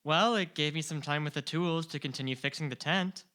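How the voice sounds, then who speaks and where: Man playing a bright young shop clerk. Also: fairly high pitch, a little fast. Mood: agreeable. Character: young, earnest, absent-minded male shop clerk. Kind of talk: amateur audio drama.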